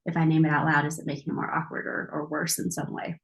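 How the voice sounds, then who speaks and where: A woman's voice: low-pitched, level tone, quick pace, fairly bright tone. A woman who supervises counselors, hosting a panel discussion in an upbeat, conversational way.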